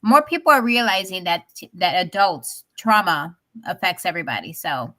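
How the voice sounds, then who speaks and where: A woman's voice: lowish voice, animated, normal speed, bright, forceful tone. A woman co-hosting a panel talk show in a chatty, earnest, encouraging and emphatic way.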